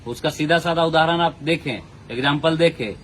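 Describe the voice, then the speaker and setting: A man's voice: a little high, normal speed, a bit bright, slight background noise. A man hosting a channel, talking earnestly and pleading with viewers. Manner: earnest, pleading, folded-hands appeal. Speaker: channel host. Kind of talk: casual commentary to viewers.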